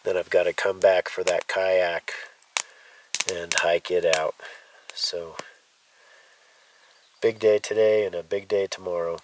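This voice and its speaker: Man, deep voice, relaxed outdoor cooking vlog, camera mic off at a distance, hiss behind. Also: slow, pauses often. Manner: relaxed, murmuring while tasting food. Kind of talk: casual camp narration. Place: forest campsite outdoors. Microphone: camera mic a few feet away.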